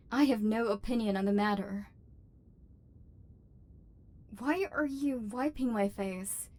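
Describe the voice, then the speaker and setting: Woman acting a formal, wounded robot overlord, higher-pitched. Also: natural voice. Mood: cold, guarded. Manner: formal, precise, stilted diction with wounded feeling. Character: embittered, lonely female robot overlord, secretly tender and lovelorn. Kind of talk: scripted ASMR roleplay acting.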